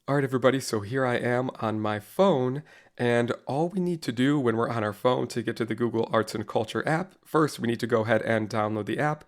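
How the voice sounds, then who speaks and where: A man with a cold and a slightly raspy voice, talking casually and in a friendly way as he leads an online teacher course through a software tutorial. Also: medium pitch, a little fast. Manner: casual, friendly walkthrough with frequent "go ahead" and fillers. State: under the weather, slightly raspy voice. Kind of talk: screen-recorded software tutorial.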